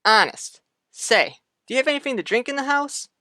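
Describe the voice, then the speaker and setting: An actor playing a breezy, jovial uncle in a vintage radio soap, talking in a casual, folksy way. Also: male voice, very high voice, lively intonation, moderate pace, sharp tone, dry recording, studio quality, heavily acted.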